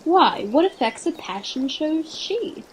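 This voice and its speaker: A woman's voice: sits high, very animated, slow pace, slight background noise, exaggerated voice, poor audio. A woman acting a playful, scheming prince in an amateur Shakespearean radio play. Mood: feigned concern. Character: courtly male prince, playful, scheming leader.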